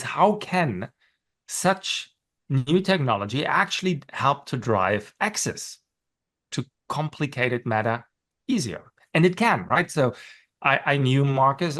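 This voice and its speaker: A middle-aged man with a clear German accent talks through his ideas on a webinar panel from his office. His delivery is loose and conversational, with plenty of "right?" check-ins and the odd laugh at his own expense. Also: mid-range voice, lively intonation, unhurried, fairly bright tone. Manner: explanatory, with frequent "right?" tags and self-deprecating laughs. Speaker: panelist and course instructor. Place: home office.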